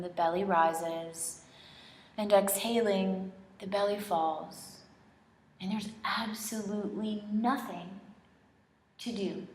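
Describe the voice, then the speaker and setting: Female meditation instructor, very slow and gentle delivery, long pauses, echoey studio. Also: on the low side. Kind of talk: slow guided relaxation meditation, soothing instruction. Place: indoor studio, leading a group in person. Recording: roomy, echoing studio sound.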